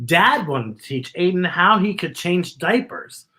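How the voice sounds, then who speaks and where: A children's story-time host reading a picture book aloud with expressive, exaggerated delivery and chatty asides to young listeners. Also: mid-range voice, measured pace, slightly rough.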